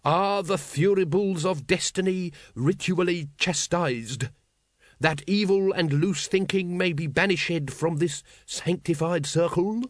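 A man's voice: high voice, unhurried, dry recording, clean studio sound, pitched above the performer's own voice. A man voicing a pompous, long-suffering grand master of a secret order, ceremonial and peevish, in a comic fantasy storybook.

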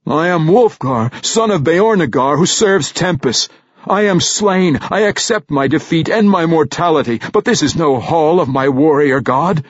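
A man voicing a huge young barbarian man, proud and tormented, declaiming loudly. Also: a little high, lively intonation, measured pace, slightly rough, no echo, lo-fi sound, voice pushed up. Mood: ranting. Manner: loud, declaiming, anguished.

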